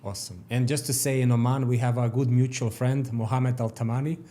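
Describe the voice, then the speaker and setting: A man's voice: medium pitch, fairly even intonation, a little fast, warm tone, slight background noise. A man hosting a podcast interview, asking structured questions and giving brief acknowledgements. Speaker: podcast host.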